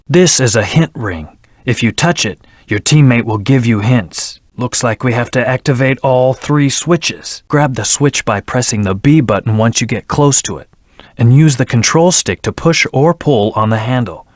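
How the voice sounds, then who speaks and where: A man's voice: mid-range voice, dry recording, clean studio sound, natural voice. A man voicing a brooding, stern hedgehog antihero in a video game, speaking in a terse, serious, controlled way. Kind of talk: video game voice acting, scripted hints and battle lines.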